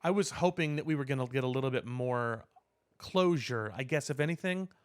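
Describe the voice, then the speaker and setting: A man co-hosting a podcast, talking casually about pop culture in wordy, measured phrasing. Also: mid-range voice, smooth. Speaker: podcast co-host.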